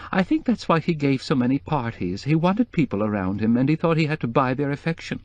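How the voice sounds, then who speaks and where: A man voicing a distraught middle-aged woman who keeps breaking into tears, her voice quavering and climbing high. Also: sing-song, a little fast, darkish tone, no room sound, muffled recording, natural voice. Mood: tearful.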